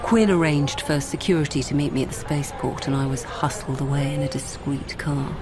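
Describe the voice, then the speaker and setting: Woman playing a low-voiced, composed lawyer. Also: fairly even intonation, fairly quick, background noise, natural voice. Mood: wry, reflective. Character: composed, stubborn female lawyer and robot psychologist. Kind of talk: radio drama narration and dialogue. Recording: music underneath.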